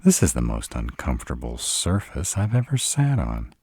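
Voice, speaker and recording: Sardonic male vampire , played by a man for roleplay audio: husky, slow-paced, dramatic and grumpy, recorded close and dry. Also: a bass voice, huge pitch swings, a little mellow, dry recording, heavily acted. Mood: dry, sarcastic. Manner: sarcastic, grumbling, dramatic. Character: sarcastic irritable male vampire, stranded in a human's home.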